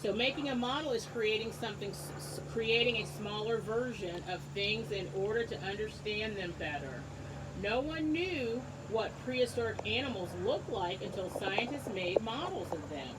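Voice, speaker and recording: A woman teacher reading a book aloud to young children, encouraging them and pausing to ask questions and explain things simply. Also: medium pitch, expressive, background noise. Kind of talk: read-aloud lesson with asides and questions to children.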